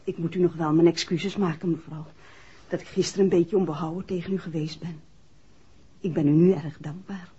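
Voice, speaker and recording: A woman's voice: on the low side, steady tone, brisk, dark tone, slightly rough. An actress with a Netherlands Dutch accent playing an anxious, defiant mother in a 1960s radio play. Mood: apologetic, worried. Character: anxious, defiant working woman, mother of injured man. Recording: muffled, hazy old radio recording.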